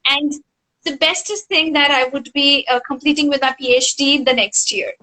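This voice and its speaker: A young Indian woman with a high voice and a bright, clear edge. She speaks earnestly about herself, with an Indian English accent and a native Hindi background. She leans on "you know" as she goes. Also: normal speed, rough recording. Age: 20s. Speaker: guest professor. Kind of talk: casual interview.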